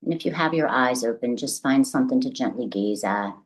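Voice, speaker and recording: A woman leading a guided meditation as the guide, speaking gently and deliberately in a soothing, instructional way with long pauses. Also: rumbling low, lively intonation, low-quality recording.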